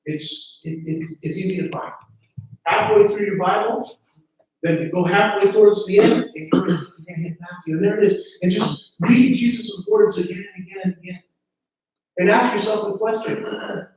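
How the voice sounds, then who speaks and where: High-voiced preacher in an echoey hall. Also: male voice. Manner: earnest, repeats words when starting phrases. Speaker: pastor. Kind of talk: sermon. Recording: very echoey room from a distance.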